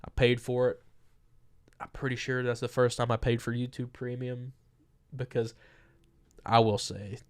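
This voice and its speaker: A man's voice: mid-range voice, flat delivery, measured pace, pauses often, clean tone. Young guy podcast cohost, kinda stuffy nose, rambly chatter full of likes and ums. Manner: chatty, rambling with many fillers. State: stuffy from a cold. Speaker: podcast co-host. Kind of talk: casual chat.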